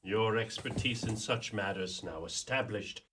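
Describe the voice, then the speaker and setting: A man playing a glib, flattering small-town schemer in a radio play, with an Irish accent. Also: on the low side, a little slow, slight background noise.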